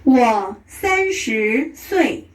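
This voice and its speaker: A woman slowly and carefully pronouncing example phrases for learners. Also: fairly high pitch, sing-song, roomy sound. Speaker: pronunciation example voice. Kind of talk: slow, careful phrase pronunciation.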